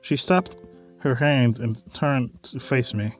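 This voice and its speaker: A man's voice: mid-range voice, takes it slowly, soft background music. A man hosting a let's play of a visual novel, reading text aloud and adding informal, wry asides. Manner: informal, wry side comments on what is read.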